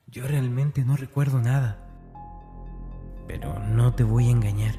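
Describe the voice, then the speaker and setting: Male actor, tormented young man, brooding confession, low pitch, breathy intensity, horror audio drama, prominent music behind. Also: mellow, lightly acted. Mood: agitated, defensive. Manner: intense, breathy, often dropping toward a whisper.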